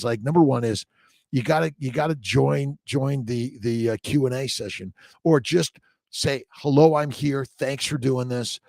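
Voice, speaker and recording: American man, gravelly creaky voice, dry close sound, informal motivational host, short repeated exhortations. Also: mid-range voice, a little mellow, dry recording. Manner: exhortative, repeats short phrases, drifts between reading and asides. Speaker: host, fertility doctor. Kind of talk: informal morning livestream, motivational chat with read-aloud passages.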